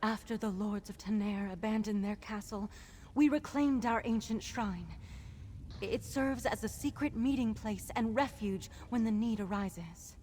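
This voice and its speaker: An actress playing a weakened druid captive in a video game, solemn and pleading, with halting, deliberate speech. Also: female voice, mid-range voice, a little mellow, lightly acted. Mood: weary, solemn. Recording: long reverb, faint background noise.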